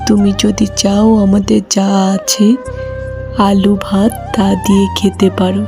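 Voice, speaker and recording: A woman voicing a poor, gentle village wife and mother in a cartoon fairy tale, speaking earnestly and respectfully. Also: medium pitch, monotone, measured pace, over music, lightly acted. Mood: gentle, apologetic.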